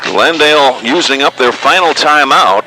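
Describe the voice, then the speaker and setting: A man's voice: medium pitch, moderate pace. American man calling football over background noise. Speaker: radio play-by-play announcer. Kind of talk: live American football commentary. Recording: noisy outdoor stadium ambience.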